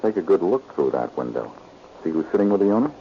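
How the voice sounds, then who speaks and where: An actor playing a dogged, wisecracking amateur detective in a brisk, wry 1940s radio drama style. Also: male voice, low voice, big pitch swings. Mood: urgent, curious. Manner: brisk, wry, pressing questioner. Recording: noisy, muffled old radio recording.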